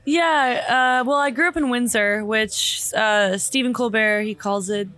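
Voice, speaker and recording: A woman's voice: a little high, a little slow, a bit bright. A woman in her late twenties, born in Bosnia and raised in Canada, with Bosnian as her first language, chatting easily as a guest and using casual fillers. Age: late 20s. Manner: easygoing, conversational with fillers. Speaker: musician guest. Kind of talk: casual interview chat.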